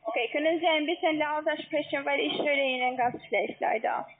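High-pitched woman with foreign-accented German, phone line. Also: crowd noise. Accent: non-native German. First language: not German. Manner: insistent, halting, repetitive. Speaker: call-centre phone agent. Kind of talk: phone sales call. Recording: tinny narrowband phone line.

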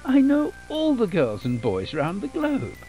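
A man playing a soothing children's storybook character, speaking in slow, drawn-out theatrical phrases. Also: high-pitched, huge pitch swings, dark tone, highs cut off, faint music behind, heavily acted. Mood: coaxing, reassuring. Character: soothing storybook narrator and coaxing goofy sleep fairy. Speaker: narrator of a children's bedtime story film.

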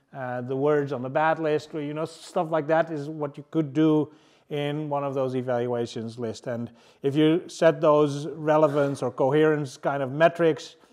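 A man in his thirties giving a casual tech talk to a seated audience in a large room, halting often with fillers and restarts. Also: a little high, a little mellow. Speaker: engineering manager presenting.

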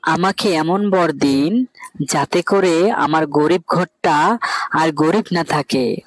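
Woman voicing a slow, earnest village boy. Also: low voice, no room sound, low-quality recording. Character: poor young village woodcutter boy, humble and earnest. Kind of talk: cartoon story character voice.

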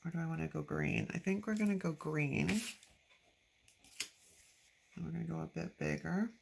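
Stuffy-nosed woman crafter, low gravelly voice, chatty self-talk, noticeable background noise. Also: moderate pace. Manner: chatty, talks to herself while working. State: stuffy nose from allergies. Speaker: planner decorating vlogger. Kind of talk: casual chatty crafting narration. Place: at a craft table, filmed from overhead.

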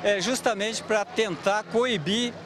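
A police officer with a Brazilian Portuguese accent giving a hesitant official statement outdoors. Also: male voice, high voice, slow, background noise. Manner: hesitant with fillers. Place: outdoors on a street.

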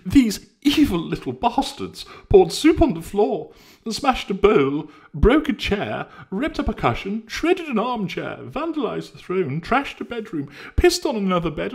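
Man doing a shrill scheming young woman. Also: vocal fry, full character voice. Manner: shrill mock-horrified wailing.

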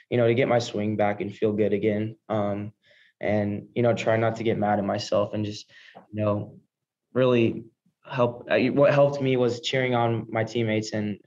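Flat-toned young American athlete, male. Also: on the low side. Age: early 20s. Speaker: college baseball player. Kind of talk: post-game press conference answers. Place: indoor press room before a backdrop. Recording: dull, lo-fi video call audio.

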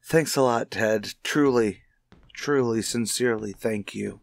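An actor playing an anxious husband in a radio mystery, halting and earnest as he confides in a friend. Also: lowish voice, creaky, a little hiss or hum. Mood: anxious, pleading. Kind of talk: radio mystery drama acting.

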